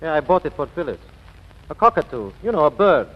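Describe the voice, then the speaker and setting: An actor playing a hapless, naive, hesitant suitor in 1940s radio style. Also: male voice, a little high, sing-song, fairly quick. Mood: defensive, sheepish. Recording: muffled, reverberant old radio recording.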